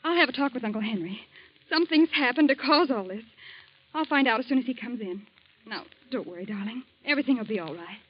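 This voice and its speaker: Young newlywed niece, gentle, coaxing, higher voice, lo-fi vintage radio. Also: female voice, lively intonation, fast talker, breathy edge. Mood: soothing. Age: young adult. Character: young woman, college-aged niece, loving and earnest. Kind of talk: 1940s radio acting. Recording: lo-fi old radio recording.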